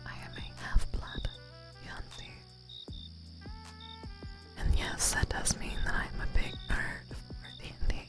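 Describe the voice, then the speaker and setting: A woman live streamer whispering softly to her viewers, playful and giggly, rambling with many fillers, close to the microphone. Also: slow pace, long pauses. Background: music playing.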